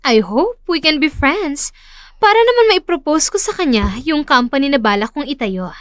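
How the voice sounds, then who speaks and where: Woman acting as spoiled boastful daughter, very high voice, lively swoops, dry sound, ambitious, audio drama. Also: moderate pace, no room sound. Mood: smug. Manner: boastful, self-satisfied.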